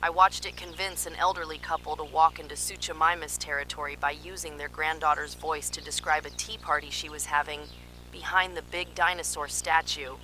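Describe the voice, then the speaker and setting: Woman acting an urgent scientist, sharp bright voice, noisy transmission. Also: low-pitched, steady tone, a little fast. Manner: urgent, dramatic, clinical reporting. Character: alarmed female park scientist transmitting desperate emergency warnings. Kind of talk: analog horror emergency narration, field report monologue.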